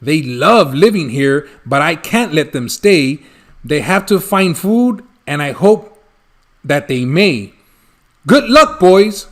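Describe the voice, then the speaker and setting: A man's voice: fairly high pitch, very animated, slow, exaggerated voice. A man voicing an indignant, bossy old forest creature from a storybook, scolding in emphatic rhyme. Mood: sad, resigned. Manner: snappish, emphatic rhyming speech.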